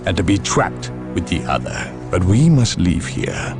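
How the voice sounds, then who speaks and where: An actor playing an imperious, coldly polite inquisitor in a video game, deliberate and condescending in his speech. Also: deep voice, sing-song, music in the background, exaggerated voice. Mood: grave, urgent. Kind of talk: dramatic video game cutscene acting.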